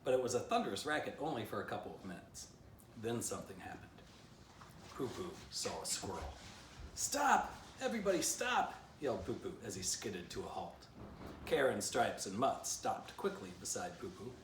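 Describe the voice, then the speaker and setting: A man's voice: lowish voice, moderate pace. A man reading a children's storybook aloud expressively as its author, with casual asides to the listener. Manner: animated, showing illustrations between passages. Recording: roomy echo, distant mic, faint noise.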